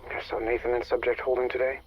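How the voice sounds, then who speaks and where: An actor playing a weary, bitter, guilt-ridden lab staffer in a game, muttering a sardonic confession in a gravelly, husky voice. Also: male voice, medium pitch, little pitch movement, fast talker, a little hiss or hum, close to an everyday voice. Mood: jaded, weary. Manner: sardonic, foul-mouthed, muttering. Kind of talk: dramatic game voice acting, confessional audio log. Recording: muffled lo-fi radio-like audio log.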